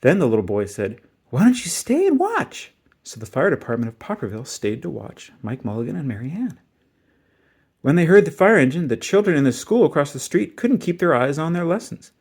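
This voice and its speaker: Very animated male storybook reader. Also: medium pitch, brisk, slightly rough. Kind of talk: expressive children's read-aloud narration.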